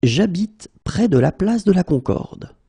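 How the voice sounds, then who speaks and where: A man's voice: high voice, very animated, unhurried, dark tone, breathy edge, no room sound. A male native French teacher drilling phrases one at a time, repeating each and then breaking it into single words. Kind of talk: slow phrase-by-phrase language drill.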